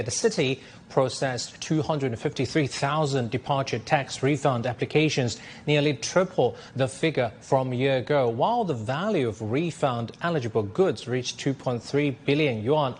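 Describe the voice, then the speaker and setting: A man reading business news as a studio anchor, in a measured, formal way. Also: medium pitch, slight background noise.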